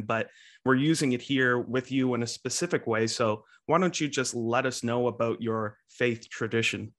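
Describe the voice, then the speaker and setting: A man's voice: mid-range voice, fairly bright tone. A man hosting an interview show, friendly and upbeat, with frequent filler uhs. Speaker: podcast host.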